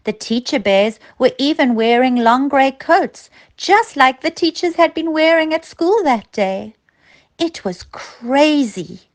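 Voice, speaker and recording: A woman's voice: high voice, lively intonation, slow. A woman narrating a children's story as a storyteller, expressively and with dramatic emphasis.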